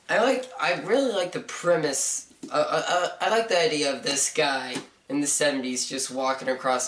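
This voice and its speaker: A young man with a hoarse, stuffy voice giving a rambling, hesitant book review, full of fillers like "uh" and "so.". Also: medium pitch, a bit bright. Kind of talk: casual video review. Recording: roomy, mic at a distance, faint noise.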